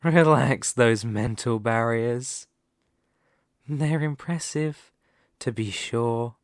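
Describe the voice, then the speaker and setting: Casting: male actor for demon lord, fantasy ASMR roleplay. Mid-pitched, extremely unhurried, dominant, taunting, a touch crazed. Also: dry recording, studio quality. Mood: coaxing menace. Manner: slow, menacing, taunting with drawn-out words.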